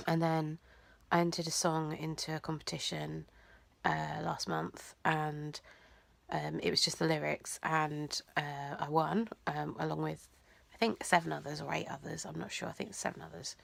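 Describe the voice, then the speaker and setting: Young woman, low, gravelly, nasal, congested, dry close sound, hesitant with lots of ums. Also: no echo. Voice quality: nasal and croaky. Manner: rambling, hesitant, many ums and likes. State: congested, teary. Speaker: vlogger. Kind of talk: personal vlog monologue.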